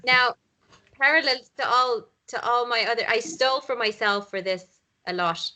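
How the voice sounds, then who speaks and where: A woman's voice: a little high, normal speed, sharp tone. A woman in casual chat, speaking as the writer hosting a table read.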